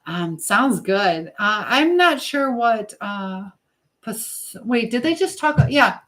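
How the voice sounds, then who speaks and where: Lady w a highish voice rambling casually on a livestream from her kitchen, pretty echoey. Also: lively intonation, slow pace, a bit bright. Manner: informal, rambling, reading out and answering comments. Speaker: livestream host chatting with viewers. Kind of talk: casual live chat while baking. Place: home kitchen. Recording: echoey room with clear background noise.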